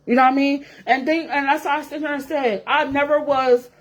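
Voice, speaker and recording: A woman's voice: high-pitched, fairly even intonation, brisk. A woman in her forties talking straight to her viewers in a blunt, profane rant, repeating herself for emphasis. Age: 40s. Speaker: vlogger. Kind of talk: casual rant to camera.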